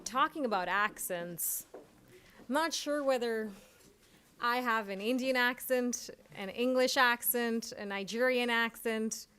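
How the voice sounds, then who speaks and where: A woman emcee at an awards ceremony, reading each honoree's citation in a measured, formal way. Her voice sits a little high. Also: fairly bright tone, clean tone. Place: large event hall.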